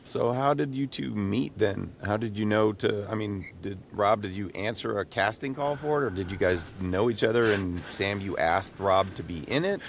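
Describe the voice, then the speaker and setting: A man's voice: on the low side, normal speed, creaky, hardly any pauses, a little hiss or hum. A man hosting a podcast, interviewing in a casual, conversational way.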